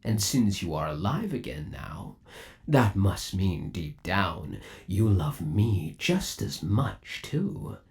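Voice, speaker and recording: A man's voice: low-pitched, very animated, slow, gravelly, darkish tone, full character voice. A man playing an undead animatronic boyfriend in an ASMR roleplay, coaxing and theatrical, lingering on endearments with eerie, possessive devotion. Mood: soothing, obsessive. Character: undead animatronic boyfriend, obsessively devoted, eerily tender yandere.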